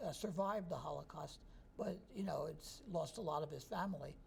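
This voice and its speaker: A man in his sixties from New Jersey, a history professor answering interview questions in a relaxed, thoughtful way. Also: on the high side, normal speed, vocal fry, a little hiss or hum. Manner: relaxed, thoughtful, chuckles easily.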